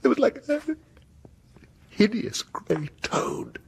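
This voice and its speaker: A man's voice: high-pitched, sing-song, slow, creaky, full character voice. An actor playing a haunted, obsessive British man in a radio horror drama, stammering and prone to hysterical urgency. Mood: mounting dread. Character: haunted, obsessive man gripped by mounting dread. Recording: noisy old radio drama broadcast.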